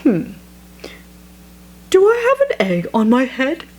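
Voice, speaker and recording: A woman's voice: high-pitched, wildly swooping pitch, slow, full character voice. A woman reading a children's picture book, voicing an anxious, exasperated elephant in a stammering, dramatic way. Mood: bewildered. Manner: stammering, exclaiming, dramatically dismayed. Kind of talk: expressive children's read-aloud.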